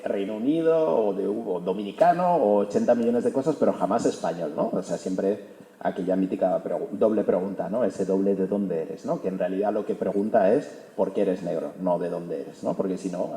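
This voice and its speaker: Young man, from Spain, fairly low voice, mellow tone, talking to an audience in a reverberant hall. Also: moderate pace. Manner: fluent, peppers speech with "¿no?". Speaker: author presenting his book. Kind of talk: public book talk. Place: auditorium hall. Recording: echoey hall recording.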